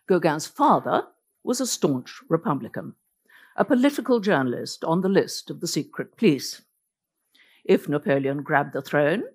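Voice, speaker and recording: A woman's voice: low voice, breathy edge. A woman whose first language is Norwegian, giving an illustrated lecture as a biographer, telling the story vividly with wry asides.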